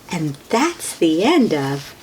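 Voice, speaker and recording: A woman hosts a picture-book read-aloud for little kids. Her voice sits a little low and swings widely in a sing-song, expressive way. There is a low rumbling noise behind her. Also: low-quality recording. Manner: animated, sing-song delivery with asides to child listeners. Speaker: children's read-aloud host.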